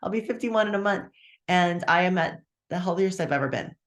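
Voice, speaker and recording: A person in their fifties giving a casual, chatty live sales pitch for a meal plan on a webinar, walking through the prices step by step and checking in with "okay?", upbeat about their own results. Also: a little fast. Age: 50s.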